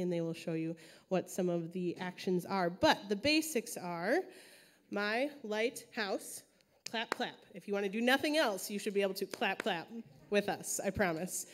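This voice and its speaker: A woman in a church sanctuary, upbeat and encouraging as she introduces a kids' song to the congregation. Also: medium pitch. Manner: upbeat and encouraging, coaxing the crowd.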